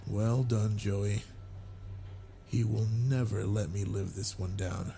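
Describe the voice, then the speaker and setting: Gravelly, low werewolf alpha, played by a man. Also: fairly even intonation, dark tone, slight background noise. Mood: exasperated. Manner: slow, teasing, flirtatious. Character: gruff, flirtatious male werewolf alpha, confident and tender. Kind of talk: intimate roleplay audio drama, spoken to the listener.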